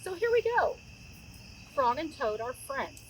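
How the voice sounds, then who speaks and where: A woman's voice: high-pitched, big pitch swings, unhurried, a bit bright, poor audio. A middle-aged woman hosting a children's story time, chatting informally to young listeners and addressing them directly. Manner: conversational, addresses listeners with questions. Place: outdoors by a campfire in woods. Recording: outdoor recording with clear insect noise. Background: crickets and insects, outdoor ambience.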